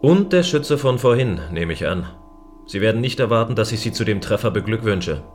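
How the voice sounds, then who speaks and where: An actor plays a stern male warden of a space station, with a fairly low voice. He is dry, formal and firmly in control, in a sci-fi audio play with soft music beneath. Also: animated, fairly quick, natural voice. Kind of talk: science-fiction audio drama acting.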